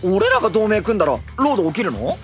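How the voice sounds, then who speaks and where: Very animated, boyish young knight. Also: male voice, high voice, quick pace, faint music behind. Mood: candid, curious. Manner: plain, casual, boyish. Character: simple, candid, eager boyish male knight. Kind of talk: anime-style voice drama acting.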